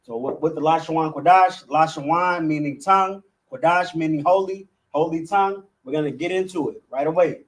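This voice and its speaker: A man's voice: on the high side, a little fast, few pauses, far from the mic. A man teaching a class in a casual, conversational way who keeps saying "you know what I'm saying" and often restarts his sentences. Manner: chants letter names loudly.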